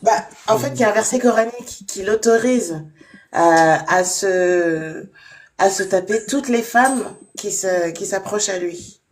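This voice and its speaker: Brief: woman with a mid-range voice, hesitant and halting, faint noise behind. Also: medium pitch.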